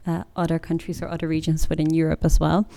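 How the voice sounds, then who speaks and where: A woman's voice: low-pitched, little pitch movement, quick pace, creaky, dark tone. A woman in her twenties giving an informative presentation in an informal, explanatory way. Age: 20s. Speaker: master's student presenter.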